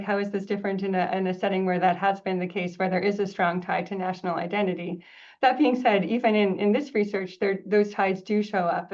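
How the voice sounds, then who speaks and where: A woman's voice: mid-range voice, a little mellow, dull audio. Thoughtful woman author on a call. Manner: measured, thoughtful explaining. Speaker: author presenting her book. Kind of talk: answering questions in an academic webinar. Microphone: video call.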